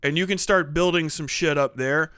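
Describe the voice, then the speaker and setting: A man hosting a livestream in casual, rambling, sweary chat, with an animated way of talking into a close mic. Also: on the high side, normal speed, smooth, no room sound. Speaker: livestream host.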